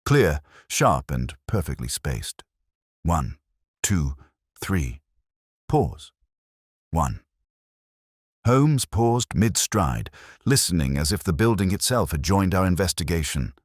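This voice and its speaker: A man narrates a detective tale in a very deep voice, with measured, literary phrasing. His pitch moves in lively swings, and the recording is close and dry. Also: dry recording. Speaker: audiobook narrator. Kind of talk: scripted first-person detective story narration.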